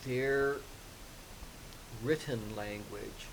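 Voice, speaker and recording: A man whose first language is Persian, answering questions as a podcast guest in a measured, explaining way with frequent ums. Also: mid-range voice. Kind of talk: conversational interview. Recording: hissy podcast recording.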